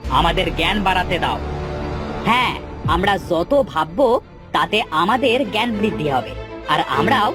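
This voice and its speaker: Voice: mid-range voice, animated, moderate pace, long pauses, over music, close to an everyday voice. An actor voicing a cocky, self-important young village lad in a cartoon, dismissive and pompous as he dreams of treasure. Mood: boastful, dismissive.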